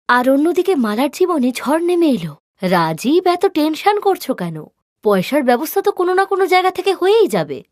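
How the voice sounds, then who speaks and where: High, animated female storyteller. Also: no room sound, clean studio sound. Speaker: narrator. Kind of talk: scripted cartoon storytelling narration.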